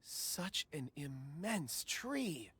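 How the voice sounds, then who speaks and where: A woman's voice: sits low, big pitch swings, drawn-out words, fairly bright tone, a little hiss or hum, exaggerated voice. A woman voicing a reserved, hesitant, weary companion in a video game, in a subdued manner. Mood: tentative. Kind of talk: English video game voice acting.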